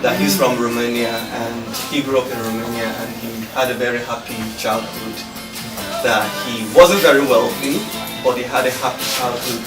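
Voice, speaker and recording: A man gives a reflective, informal speech of thanks in an echoey space, picked up from a distance. He pauses often as he searches for words, and loud plucked guitar plays behind him. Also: mid-range voice, fairly even intonation. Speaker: exhibiting artist. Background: live guitar music.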